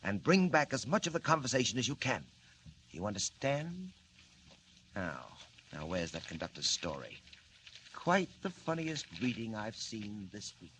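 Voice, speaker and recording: A man's voice: on the low side, very animated, unhurried, a bit bright, a little hiss or hum, poor audio. An actor playing a scheming, mocking male villain mastermind in 1940s radio acting, with smug, stiff, formal phrasing. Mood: commanding.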